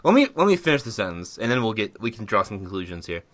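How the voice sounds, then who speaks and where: A man's voice: on the low side, sing-song, quick pace, no echo. A man hosting a comedy podcast, giggly and easily cracked up as he chats and reads aloud casually. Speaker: podcast host. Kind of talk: casual comic podcast chat, reading a summary aloud.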